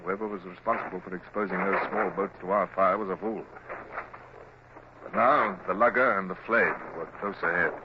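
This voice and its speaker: An actor with a British accent playing a cool, commanding Royal Navy captain, measured and formal, in a vintage radio drama style. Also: male voice, low-pitched, fairly even intonation, close to an everyday voice. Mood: grave, narrating. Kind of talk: vintage radio drama acting, first-person narration and orders. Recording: dull, noisy old radio drama recording.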